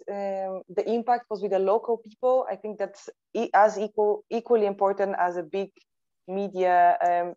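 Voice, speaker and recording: A woman moderating a panel discussion on a video call, talking with many pauses and restarts. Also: medium pitch, dark tone, dry recording. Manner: hesitant, many ums and restarts. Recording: dry, muffled call audio.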